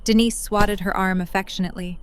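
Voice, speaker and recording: A woman's voice: mid-range voice, fairly even intonation, brisk, clean tone. A woman reading scripted third-person narration for an audio drama.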